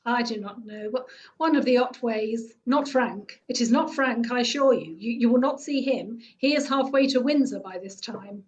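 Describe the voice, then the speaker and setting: A woman reads as a cheerful middle-aged gentleman keeping a secret, brisk and jovial. Her voice stays on the high side for a man. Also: natural voice, low-quality recording.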